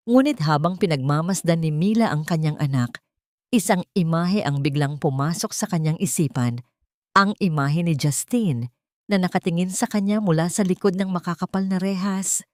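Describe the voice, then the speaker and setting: A woman narrator reading a scripted dramatic love story in a solemn, deliberate manner. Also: fairly low pitch, darkish tone, no echo.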